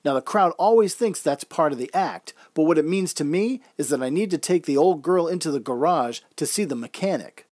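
A man's voice: on the high side, expressive, brisk, hardly any pauses, darkish tone, no echo, clean studio sound. A man reading scripted first-person narration in the style of an old-time radio drama. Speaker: storyteller.

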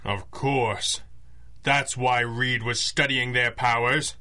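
An actor playing a cool, wry masked crime-fighter in a radio drama, speaking with dry, assured authority. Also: male voice, medium pitch, fairly even intonation, slow pace, sharp tone. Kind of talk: 1930s-style radio drama acting.

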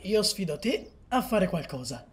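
Man acting high-voiced, exasperated comedy sketch guy. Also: sing-song, a little slow. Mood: smug. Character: uptight young man in sketch, later aged recluse.

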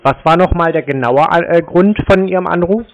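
Laconic man, highish voice, dull audio. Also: normal speed. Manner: laconic, needling, repeats questions. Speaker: prankster taking scam calls. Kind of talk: casual terse phone replies. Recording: slightly dull, lo-fi call audio.

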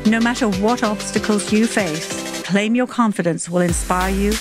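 A woman announcer reading a podcast intro in an upbeat, polished voice. Her pitch is mid-range for a woman. A clear music bed plays behind her. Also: moderate pace. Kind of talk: scripted promo narration.

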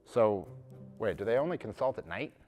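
A man in his thirties reading out a list as a mascot presenter, announcing items and dropping into muttered, deadpan comic asides. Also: on the low side, moderate pace, soft background music. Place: large glass-walled office room, indoors.

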